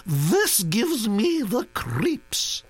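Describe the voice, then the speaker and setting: A man reading an audiobook, voicing an imperious elderly aristocratic duchess who is brisk, bossy and disapproving. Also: high voice, huge pitch swings, breathy edge, no room sound, voice pushed up, exaggerated voice.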